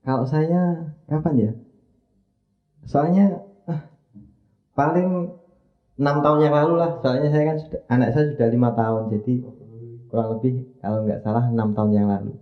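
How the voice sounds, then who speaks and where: A man's voice: medium pitch, fairly even intonation, moderate pace, long pauses. A man, a jokey, easygoing podcast guest. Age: 30s. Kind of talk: casual chat. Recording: dull mic with faint music.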